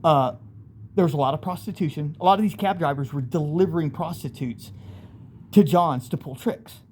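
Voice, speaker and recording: A man's voice: a little high, moderate pace, a little mellow. American man rambling candidly, noisy background. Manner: rambling, candid, street-slang speech. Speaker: storyteller, channel host. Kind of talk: casual first-person storytelling monologue.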